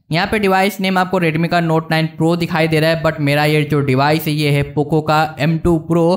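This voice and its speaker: Higher-pitched Indian man explaining casually. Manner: informal explaining, addressing viewers directly. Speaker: tech reviewer and channel host. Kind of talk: casual screen-walkthrough narration of a phone software review.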